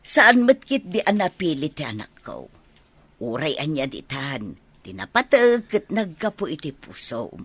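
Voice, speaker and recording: A doting older village mother in a radio drama. Her lowish voice is husky and swings expressively, with an Ilocano accent and an easygoing manner. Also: huge pitch swings, unhurried, a bit bright, heavily acted. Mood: warm, teasing.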